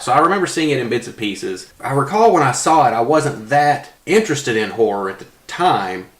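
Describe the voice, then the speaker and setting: A man's voice: medium pitch, fairly bright tone. A man on a podcast panel, casually discussing film in a reflective way, repeating phrases for emphasis. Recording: roomy echo.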